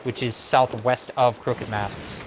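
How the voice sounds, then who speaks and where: A man co-hosting a game stream, chatting and explaining in a casual, somewhat halting way. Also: medium pitch, fairly even intonation, a little hiss or hum, rough recording. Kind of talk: casual game commentary and chat.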